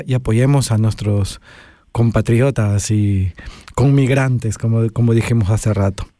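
A man hosts an informal radio interview with a soft, mellow tone and medium pitch. His delivery is conversational and a little hesitant, recorded dry and close in a studio. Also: no room sound. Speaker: radio show host.

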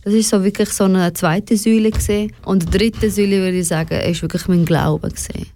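A woman's voice: mid-range voice, fairly even intonation, fairly quick, dark tone, dry recording. A young woman with a Swiss German accent talking candidly and reflectively as an interview guest, dropping in English words. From Switzerland, of Afghan family. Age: young adult. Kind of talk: personal, reflective conversation.

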